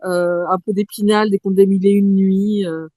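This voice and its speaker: Hesitant woman with a mellow voice. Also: mid-range voice, little pitch movement, moderate pace, no echo. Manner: hesitant, many "euh" fillers, wry. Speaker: participant. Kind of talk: casual live audio-room discussion.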